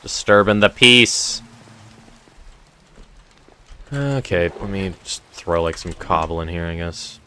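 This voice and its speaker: Casting: young male streamer, deep voice, strained, rambly chat to viewers, faint noise behind. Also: normal speed, sharp tone. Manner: rambling, reads chat aloud and answers it. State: voice feeling strained. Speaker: streamer running a game server. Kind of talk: casual live-stream chat.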